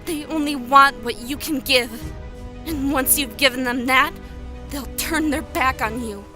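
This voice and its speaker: A woman's voice: high voice, a little slow, bright, forceful tone, soft background music. A woman playing an emotional, intense anime schoolgirl in an audio drama. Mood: hurt, furious.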